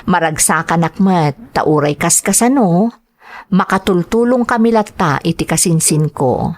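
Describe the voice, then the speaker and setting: A woman's voice: on the low side, animated, unhurried, a little mellow. A woman playing a kind, trusting wife who narrates her own story in a scripted drama. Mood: earnest, reflective. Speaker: narrator and lead character.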